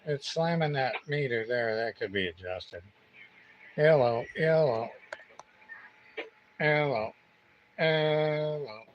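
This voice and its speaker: Slow-paced man muttering over clear background noise. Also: medium pitch, highs cut off. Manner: muttering to himself, hesitant, thinking aloud. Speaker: livestream host, CB radio repairer. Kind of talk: casual rambling product demonstration on a livestream.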